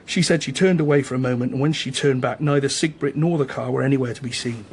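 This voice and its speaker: A man's voice: mid-range voice, fast talker, darkish tone, slight background noise, close to an everyday voice. A man playing a candid, chatty small-town police chief in a radio drama, conversational and slightly hesitant. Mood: earnest, confiding. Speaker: actor in a radio crime drama. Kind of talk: naturalistic radio drama dialogue.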